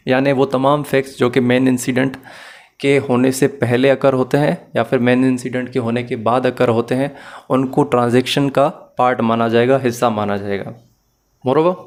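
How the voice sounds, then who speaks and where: A man explaining material as a law teacher in an online lecture, methodically repeating and rephrasing points and mixing in English phrases. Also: mid-range voice.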